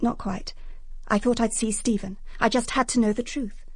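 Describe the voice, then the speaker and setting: Radio drama: wounded young English lady, higher-pitched, earnest, self-justifying, close dry sound. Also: steady tone, moderate pace, breathy edge, no room sound. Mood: tense, wounded. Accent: British English. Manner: earnest, confessional.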